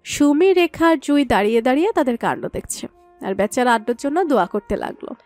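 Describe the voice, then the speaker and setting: A woman's voice: sits high, sing-song, quick pace, darkish tone, no room sound, soft background music. A woman reading a scripted story as its narrator.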